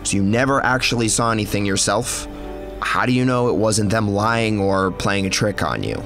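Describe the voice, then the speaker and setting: The deep, mocking voice of a doubting reporter telling his tale on a late-night horror fiction podcast, soft music underneath a man acting. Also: normal speed, close to an everyday voice. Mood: probing. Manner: sardonic, dramatic storytelling. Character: skeptical male journalist, mocking, cocky and probing. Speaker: narrator and lead character of an audio horror story. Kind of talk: scripted first-person horror narration with acted dialogue.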